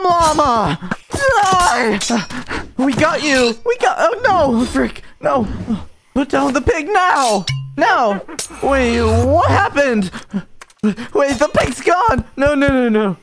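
Woman voicing an excitable high-pitched cartoon stick figure. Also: heavily acted. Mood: panicked, fierce. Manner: casual youthful slang, chatty exclamations. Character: excitable orange stick figure searching for lost friends. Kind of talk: amateur cartoon fan voiceover.

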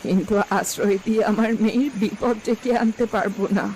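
A woman's voice: a little high, fairly even intonation, a little fast, darkish tone, a little hiss or hum, natural voice, low-quality recording. A woman playing emphatic, melodramatic village women in a cartoon folk tale. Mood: indignant. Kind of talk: Bengali cartoon fairy-tale voice acting. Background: background music.

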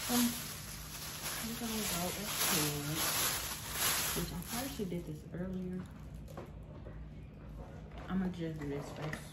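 A young woman, casually chatting as she cooks and narrating a voiceover in a relaxed, rambling way that often laughs at herself. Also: low voice, heavy background noise. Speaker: vlogger. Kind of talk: casual cook-along chat and voiceover. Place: home kitchen, indoors. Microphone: camera on the counter. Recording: voiceover with clear background music.

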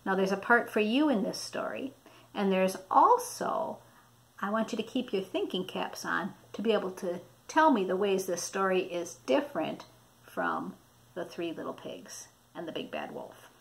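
A woman in her sixties reading a storybook aloud to children in an animated, deliberate, teacherly manner. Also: mid-range voice, vocal fry. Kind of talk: expressive read-aloud to children. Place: small indoor room.

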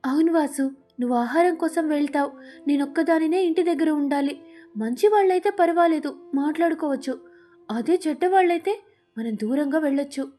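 A woman voicing a friendly, caring young female parrot who chats in a sweet, coaxing way in an animated storybook. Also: sits high, fairly quick, soft background music, voice pushed up, close to an everyday voice. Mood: eager, curious.

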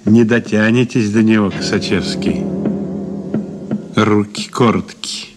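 A man's voice: fairly low pitch, steady tone, takes it slowly, music in the background. An actor in a Soviet radio drama playing a sardonic suspect who muses and taunts his questioner slyly. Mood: sardonic, taunting. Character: male detained suspect, sardonic, philosophizing, taunting.

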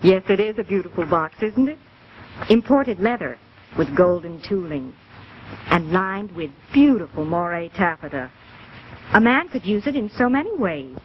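A woman's voice: lowish voice, animated, moderate pace, slight background noise. An American woman presenting a 1950s live television commercial in a gracious sales manner. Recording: dull old TV kinescope with music.

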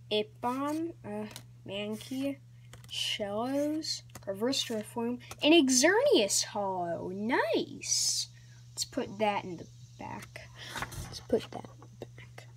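A woman hosting a casual card-opening vlog, talking in a hesitant, rambling way with many ums and restarts, sometimes dropping to a soft whisper. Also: fairly high pitch, slight background noise. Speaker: channel host.

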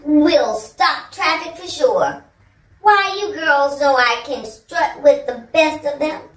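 A vain storybook hen, acted out by a woman, gushing and preening in a very high, slow, drawn-out voice. It is theatrical and boastful, recorded at a distance in an echoey room. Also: lively intonation. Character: vain, excited female hens, preening and boastful.

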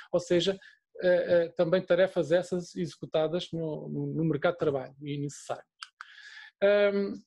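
Hesitant Portuguese male lecturer, high voice. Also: a little mellow. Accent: European Portuguese. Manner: hesitant, many fillers and restarts. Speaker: course lecturer. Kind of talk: online course presentation over slides.

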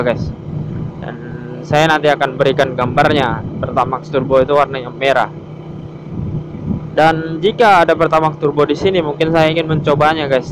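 A man chatting casually and chummily to viewers as a motovlogger, recorded outdoors while riding a motorbike. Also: fairly high pitch. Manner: addresses viewers chummily, often tagging phrases with "guys". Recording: noisy outdoor ride with engine and wind. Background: vehicle and outdoor noise.

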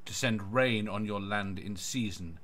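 A man's voice: lowish voice, measured pace, fairly bright tone. A man reading Bible verses aloud as a guest reader.

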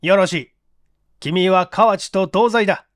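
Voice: medium pitch, a little slow, no room sound, clean studio sound, pitched above the performer's own voice, lightly acted. A performer voicing a stern, overbearing male police investigation chief in a mystery audiobook, accusatory and commanding. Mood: stern pressure.

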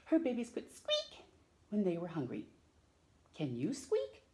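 Animated, slow-paced woman reading to children. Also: mid-range voice. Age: middle-aged. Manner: theatrical, drawn-out words, questions to young listeners. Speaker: storytime host reading aloud. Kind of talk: children's picture-book read-aloud. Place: small room at home, indoors.

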